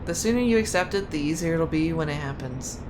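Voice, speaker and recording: An actress playing a headstrong sniper trooper in a sci-fi military audio drama, blunt and self-assured. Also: female voice, low voice, animated, quick pace, noisy background. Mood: frank, caring.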